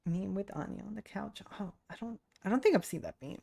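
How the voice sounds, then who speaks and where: Woman, game streamer, casual chat, informal and giggly, creaky voice, medium pitch. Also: lively intonation, brisk, warm tone. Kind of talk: casual livestream chat while gaming.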